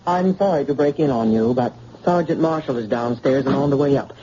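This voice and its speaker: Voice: medium pitch, brisk, mellow, a little hiss or hum, natural voice. An actor playing a weary young hospital doctor with a dry manner, in an old radio drama style. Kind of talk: 1940s radio acting. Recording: dull old radio broadcast.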